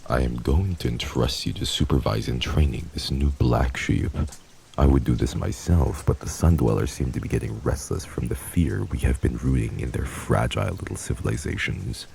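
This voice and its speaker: Extremely low male voice, dark and creaky, cold vampire boss, pompous archaic commands, menacing, fantasy audio drama, some background noise. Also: normal speed, exaggerated voice, low-quality recording. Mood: cold, commanding. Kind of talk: scripted fantasy audio roleplay.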